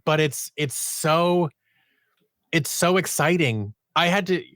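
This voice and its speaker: A man's voice: a little high, slow, fairly bright tone, no echo, clean studio sound. A man giving a conversational interview as a podcast guest, telling stories about his creative work and acting out bits of quoted dialogue. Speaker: podcast guest, audio drama producer and sound designer.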